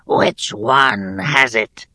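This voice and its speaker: A man voicing a huge, cruel warrior goblin leader in a fantasy audiobook, wheezing and rasping, with menacing, mocking, drawn-out words. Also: on the low side, sharp tone, no echo, studio quality, exaggerated voice. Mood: menacing demand.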